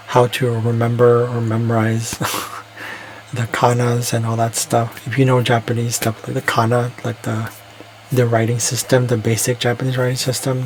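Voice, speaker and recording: A man's voice: medium pitch, slight background noise, low-quality recording. A man talking alone in a casual, reflective monologue, rambling and hesitant, often restarting his sentences. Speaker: vlogger.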